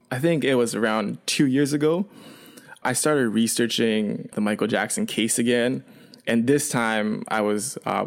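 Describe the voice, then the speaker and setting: Young man, Chinese first language, Canadian-raised; low-set voice, loose and rambling with lots of fillers. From China, raised in Canada. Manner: relaxed, rambling, frequent fillers and restarts. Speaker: guest. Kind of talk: casual storytelling.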